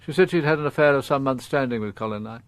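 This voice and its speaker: Male actor, radio drama gentleman, middle-aged, grave, reticent, formal, halting, medium pitch, dry acoustic. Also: smooth, no room sound, close to an everyday voice. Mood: reflective, formal. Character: grave, dignified upper-class father confessing to a crime. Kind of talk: radio drama acting, confessional dialogue.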